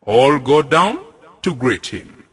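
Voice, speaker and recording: Slow, animated young male narrator. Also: mid-range voice, a little hiss or hum. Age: young adult. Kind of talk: scripted drama narration. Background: music.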